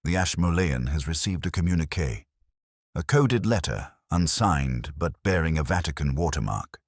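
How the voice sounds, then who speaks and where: A man's voice: a bass voice, lively intonation, moderate pace, no echo, studio quality, full character voice. A man voicing an earnest, learned male scholar in a mystery audiobook, speaking formally and explaining carefully. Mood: anxious.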